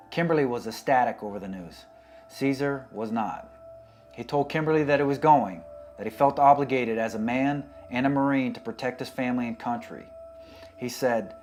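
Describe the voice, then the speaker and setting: A man narrating a documentary in a solemn, measured way, his voice dropping quietly at the end of sentences. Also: mid-range voice. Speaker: documentary narrator, fellow Marine veteran.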